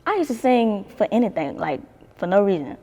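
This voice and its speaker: Gravelly-voiced young woman. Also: mid-range voice, sing-song, brisk, mellow, big-room sound. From Baton Rouge, Louisiana. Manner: laid-back, swears freely, laughs often. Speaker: singer, interview guest. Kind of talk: casual interview chat.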